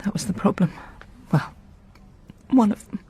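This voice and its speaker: An actress playing an emotional young woman in a radio drama, with a breathy voice. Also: normal speed, dark tone, lightly acted. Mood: shaken, bewildered. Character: friendly young female radio colleague, emotional and vulnerable.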